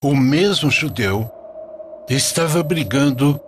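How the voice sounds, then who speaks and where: A man playing a devout, patient old grandfather narrating a sacred animated story. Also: mid-range voice, slow, a little hiss or hum. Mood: solemn storytelling.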